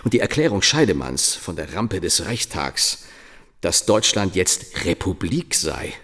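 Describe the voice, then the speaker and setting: An actor playing a grave, indignant monarchist reading an article aloud in a measured, declamatory radio drama style. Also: male voice, low voice, big pitch swings, a bit bright, heavily acted. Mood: solemn, accusing.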